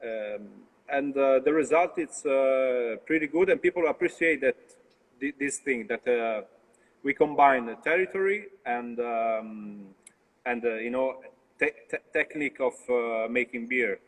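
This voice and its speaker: A young Italian man speaks in a hesitant, filler-heavy way, often restarting his words as he searches for the right ones. His voice sits at a middle pitch and carries a long reverb, as if from a bare room on a video call. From Italy. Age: young adult. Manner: halting, many fillers and restarts. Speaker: brewer, guest. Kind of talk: casual interview over a live stream.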